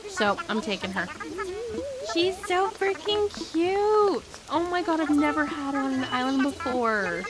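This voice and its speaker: A young woman chatting casually on a gaming live stream, rambling with lots of fillers and bursting into excited squeals, her voice still slightly hoarse. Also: sits high, measured pace. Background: game music.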